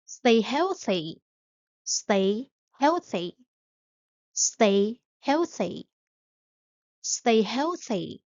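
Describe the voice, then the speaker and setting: A woman drills short phrases at a very slow, deliberate pace, saying each one several times. Her voice sits in a middle range and sounds close and dry, with no room around it. The audio is slightly dull. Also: on the high side, a little mellow, no echo. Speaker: English teacher.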